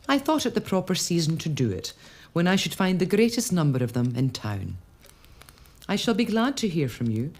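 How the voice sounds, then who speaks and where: A woman playing a low-voiced lady. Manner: measured, expressive reading of old documents. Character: Scottish noblewoman, searching and reproachful. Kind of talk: dramatic narration and monologue.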